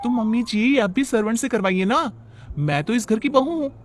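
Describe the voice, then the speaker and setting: Man doing a high-voiced, fed-up young daughter-in-law. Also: moderate pace. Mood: defiant. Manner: complaining, argumentative. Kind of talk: cartoon moral story acting.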